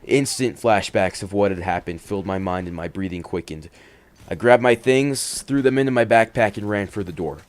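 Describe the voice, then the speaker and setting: A man hosting and reading horror stories in an expressive, dramatic way, moving between hushed and emphatic delivery. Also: lowish voice, normal speed. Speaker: horror story reader and host. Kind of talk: dramatic first-person horror narration with casual commentary.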